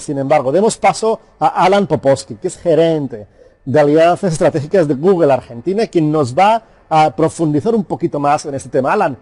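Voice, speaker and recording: A man's voice: fairly high pitch, darkish tone. A man presenting a lesson to small business owners, speaking directly and coaxing them to act. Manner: direct, coaxing, addresses viewers formally. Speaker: course presenter.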